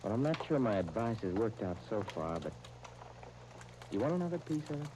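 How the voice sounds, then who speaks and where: An actor playing a wise, loyal town doctor giving measured, folksy counsel in an old-time radio drama. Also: male voice, lowish voice, sing-song, background noise. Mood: firm, advising.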